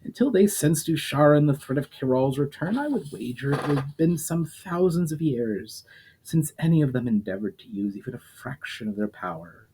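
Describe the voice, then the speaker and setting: A man's voice: medium pitch, expressive, normal speed, dark tone, a little hiss or hum, pitched above the performer's own voice, lightly acted, low-quality recording. A man reading a story aloud, voicing an ancient, scornful immortal demon who lectures coldly and derisively. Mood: cold lecturing.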